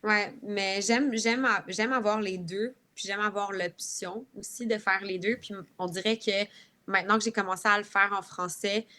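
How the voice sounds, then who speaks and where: Female guest singer, Quebec French accent, bright forward voice, casual interview talk. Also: medium pitch, steady tone, moderate pace.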